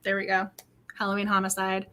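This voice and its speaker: Bubbly woman book club host, bright sharp voice, keeps laughing and stumbling over words. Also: mid-range voice, fairly quick, clean tone. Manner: excitable, laughs often, repeats words. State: voice getting worn. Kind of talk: casual livestream chat.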